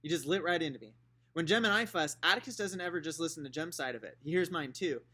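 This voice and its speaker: A man's voice: on the high side, fast talker, sharp tone, breathy edge, pitched above the performer's own voice. A man voicing a defiant young girl who argues her side, raised and indignant.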